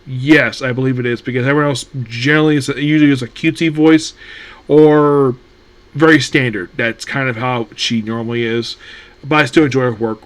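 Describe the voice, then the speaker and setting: A man's voice: mid-range voice, steady tone, brisk. A man hosting a casual solo anime news talk, rambling with many fillers and restarts.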